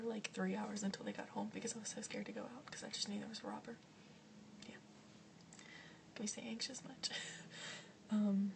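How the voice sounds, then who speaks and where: A young woman whispers through a casual question-and-answer chat in a soft, breathy hush, filling gaps with ums and likes. Her voice sits at a middle pitch and sounds a little away from the mic, with a faint hiss underneath. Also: far from the mic. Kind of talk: whispered ASMR Q&A.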